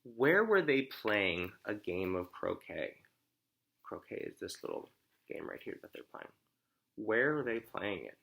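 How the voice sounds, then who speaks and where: A man reading a story aloud as a teacher, phrasing it deliberately and simply for children and pausing to ask comprehension questions. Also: on the low side, big pitch swings, vocal fry, a bit bright.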